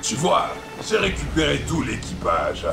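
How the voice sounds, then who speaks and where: Man playing a gravelly smug pirate captain. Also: fairly high pitch, huge pitch swings, unhurried, reverberant, over music, heavily acted. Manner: mocking, grandiose and coldly polite. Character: smug, scheming male pirate captain villain. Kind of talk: amateur parody audio drama.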